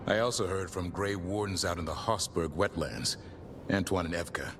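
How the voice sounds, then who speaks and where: A man's voice: low-pitched, moderate pace, background noise. A man playing a serious, dutiful warrior ally in a fantasy game. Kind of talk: dramatic video game acting.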